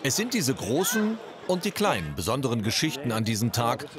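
A man's voice: mid-range voice, big pitch swings, a little fast, over music. A man narrating a regional TV news feature as a scripted voice-over.

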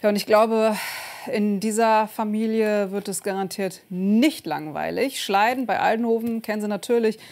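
A woman's voice: medium pitch, normal speed, darkish tone. A woman presenting regional news in a conversational way.

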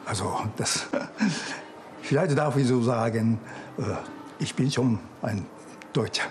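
A man in his sixties from Japan with a Japanese accent, speaking deliberately and politely in an interview as a non-native speaker. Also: medium pitch, a little hiss or hum. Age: 60s. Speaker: club chairman being interviewed. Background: music.

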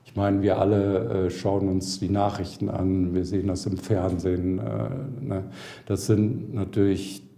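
A man's voice: deep voice, flat delivery, measured pace, gravelly, mellow. A man giving a hesitant interview answer about his own impressions. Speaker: interviewee, company colleague. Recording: echoey room.

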